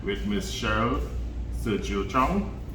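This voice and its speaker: A Trinidadian man speaks from away from the mic in an echoey room, informally introducing a live school reading. His voice is fairly low, with clear noise behind it. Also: animated, slow, a bit bright, distant mic. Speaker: host introducing the reader.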